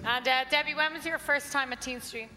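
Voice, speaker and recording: Bright, high-voiced woman hosting a hall stage. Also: fairly even intonation. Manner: bright, emphatic, with filler pauses. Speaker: host. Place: large hall. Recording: echoey hall with faint music.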